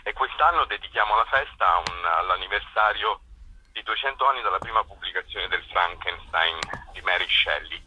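Deep, sharp-edged, husky man. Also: animated, moderate pace, muffled recording. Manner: hesitant, searching for words. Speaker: festival co-director being interviewed. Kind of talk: informal radio interview.

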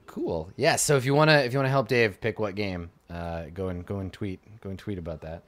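A man with a fairly low voice hosts a live stream sign-off. He talks in a chatty, upbeat way, padding his lines with "uh" and "yeah". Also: fairly quick. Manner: chatty, upbeat, many fillers. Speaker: host.

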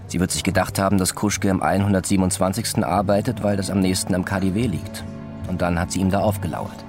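An actor playing a coaxing, matter-of-fact police officer in a German radio drama. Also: male voice, deep voice, fairly even intonation, fast talker, music in the background. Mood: matter-of-fact persuasion. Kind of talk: German radio play acting.

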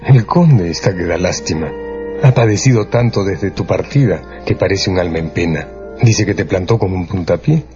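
A man's voice: sits low, lively intonation, moderate pace. An actor playing a gossiping, needling male acquaintance in a radio drama. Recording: dull radio production with music underneath.

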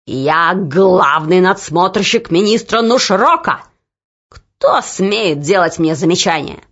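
A woman voicing a cruel male overseer with a whip, growling out slow threats. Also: on the low side, expressive, a bit bright, full character voice. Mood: angry. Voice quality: growling, gruff. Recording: dull lo-fi recording.

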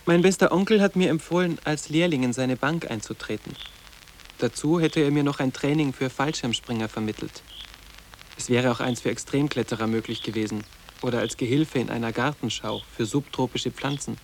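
A man's voice: mid-range voice, a little fast, slight background noise, natural voice. An actor playing a sarcastic, guarded teenage boarding-school boy in a radio drama, speaking with precocious, dry formality. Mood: dry sarcasm. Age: young.

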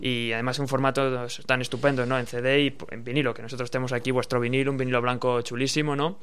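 A man's voice: mid-range voice, flat delivery, fast talker, a bit bright, clean tone. A male radio host interviewing a band, chatty and enthusiastic about the music.

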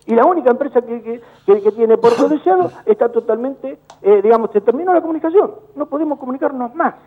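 An Argentine man, a business chamber director, speaking indignantly on a phone call and repeating words in rapid bursts. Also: very high-pitched, expressive. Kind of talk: heated phone-in interview. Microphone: telephone. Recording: tinny phone line.

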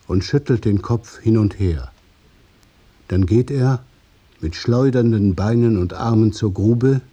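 A man narrating a literary radio play in a measured, observational way. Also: on the low side, mellow, slightly rough. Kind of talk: scripted literary narration in present tense.

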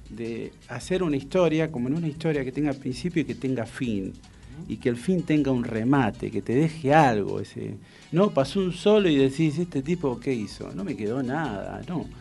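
A man with an Argentine accent hosting a casual radio interview, speaking haltingly and repeating his words and phrases. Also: medium pitch, gravelly, dark tone. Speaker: radio show host. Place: radio studio.

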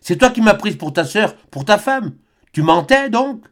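A man voicing an imploring young woman, pitched high. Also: expressive, a little slow, close to an everyday voice. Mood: reproachful. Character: young woman, pleading, then bitter and scornful.

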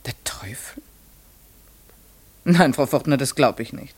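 Breathy, curious young lady, dry sound. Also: rumbling low, expressive, takes it slowly, a little mellow, no echo, exaggerated voice. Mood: polite, puzzled. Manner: hesitant, breathy, often near a whisper. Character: polite, curious young lady visitor, shaken but brave. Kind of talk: German radio play acting.

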